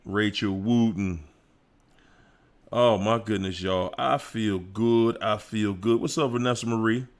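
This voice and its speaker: A man hosting a relaxed livestream. He talks slowly and lets his lines hang. He hypes up the chat in a playful way, with bursts of whoops and sung bits. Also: fairly low pitch. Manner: playful, hypes up chat, sings snippets and laughs. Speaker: livestream host. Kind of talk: casual livestream chat greeting viewers.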